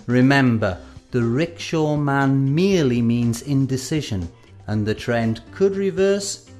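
Measured male instructional presenter over music. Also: mid-range voice, lively intonation, darkish tone. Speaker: presenter of an educational film. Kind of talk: scripted instructional narration. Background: background music.

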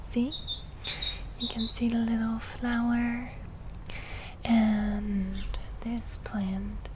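A young woman playing a gentle forest witch healer in a whispered ASMR roleplay, soft-spoken and repeating words hypnotically. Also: on the high side, monotone, drawn-out words, background noise. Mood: gentle, coaxing. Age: 20s. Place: outdoors in a leafy garden.

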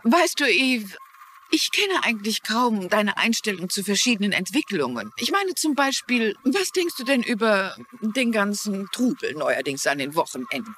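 A woman's voice: mid-range voice, big pitch swings, moderate pace, a bit bright, slight background noise. An actress playing a shrewd older woman who is a mystery writer, courteous and inquisitive, in a German-dubbed crime series. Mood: probing. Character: shrewd, polite older widow mystery writer and amateur sleuth. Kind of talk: dubbed TV crime drama dialogue.

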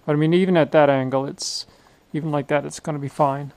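A man talks through his woodworking in a home workshop, thinking aloud in a relaxed, unhurried way. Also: on the high side, clear, resonant tone. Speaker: woodworking hobbyist. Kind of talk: casual workshop narration.

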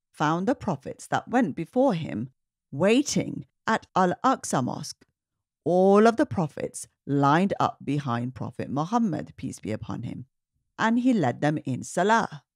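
A woman reading a children's story aloud in an expressive, emphatic narrating style. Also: lowish voice, dry recording, studio quality. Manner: animated, emphatic, clearly paced delivery. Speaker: children's story reader and host.

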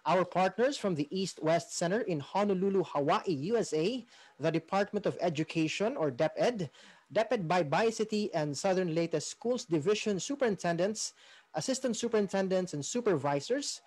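A woman's voice: on the low side, expressive, moderate pace, a little mellow. A woman with a Filipino accent hosting a radio program, partly scripted with casual asides.

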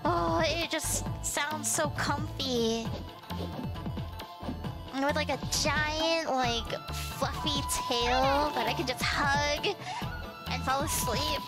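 A giggly, excitable woman reacting casually on a live stream, with game trailer music behind her. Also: sits high, takes it slowly.

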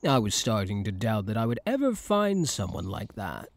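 A man's voice: fairly low pitch, sing-song, creaky, dry recording. A man playing a cocky, possessive elf hunter in an intimate ASMR roleplay, smug, teasing and seductive. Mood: confiding, possessive. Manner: smug, teasing, slow and deliberate. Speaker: voice actor in audio roleplay.

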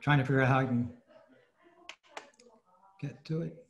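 A man giving an informal talk as a club presenter on a video call, halting and hesitant. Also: medium pitch, fairly even intonation. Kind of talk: halting informal lecture over slides. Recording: roomy video-call audio.

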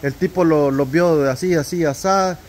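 A man's voice: fairly high pitch, normal speed. A man, co-hosting a casual vlog, drawing out a story for suspense with restarts. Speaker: vlog co-host. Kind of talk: casual chat. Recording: outdoor audio with faint background noise.

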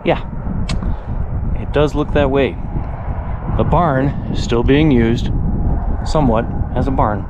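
A man's voice: takes it slowly, pauses often. A man musing aloud in a reflective, casual way as he explores and comments on what he sees. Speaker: vlogger and photographer exploring abandoned places. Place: abandoned farmstead, mostly outdoors beside a highway. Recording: noisy outdoor field recording, highway nearby.

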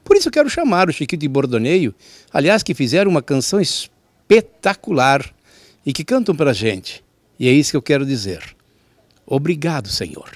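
A man's voice: on the high side, sing-song, normal speed, dry recording. Folksy male TV host, dry close sound. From southern Brazil. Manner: warm, folksy, chatty with small laughs. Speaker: TV show host. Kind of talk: friendly direct address to viewers. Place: outdoors in front of a wooden fence. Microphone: handheld mic.

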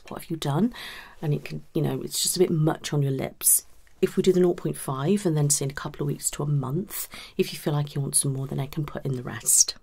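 British woman doing asmr consultation roleplay, deep-ish for a woman, lots of vocal fry, soft and reassuring. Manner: reassuring, unhurried, conversational questioning. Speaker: ASMR performer playing a cosmetic surgery consultant. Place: small indoor room set up as a clinic.

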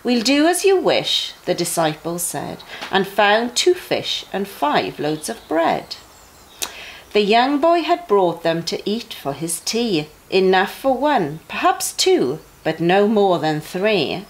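Lively, unhurried woman reading to children. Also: medium pitch, slight background noise, rough recording. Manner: animated storytelling with emphatic phrasing for young children. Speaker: bedtime story reader for a junior church. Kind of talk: expressive read-aloud of children's Bible stories.

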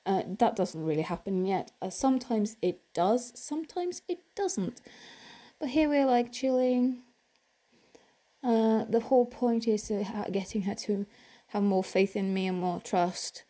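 A young woman talks through her own footage in a relaxed, unscripted voiceover. Her delivery is slow and her tone is warm and mellow, with frequent ums and restarts along the way. She sits in a quiet room, speaking into a headset mic. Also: mid-range voice. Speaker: horse vlogger. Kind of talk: casual voiceover narration. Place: indoors, quiet room, recorded apart from the pictured arena.

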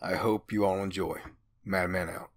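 A man's voice: deep voice, fairly even intonation, normal speed. A man who works as a voice actor and sound designer, giving a scripted thank-you message.

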